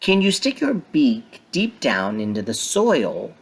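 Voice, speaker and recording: A man voicing a gentle, caring mother kiwi bird in a storybook, speaking in a soft, reassuring way. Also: medium pitch, wildly swooping pitch, slow pace, vocal fry, breathy edge, heavily acted. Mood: tender.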